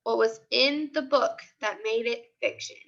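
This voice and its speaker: A woman's voice: on the high side, unhurried, vocal fry, fairly bright tone, lo-fi sound. A woman teacher leading a live online class discussion, prompting with repeated questions and short commands.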